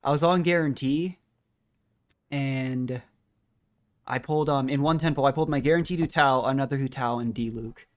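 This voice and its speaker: A man's voice: mid-range voice, moderate pace, long pauses. A man streaming a video game, giving casual, rambling and self-deprecating commentary as he plays.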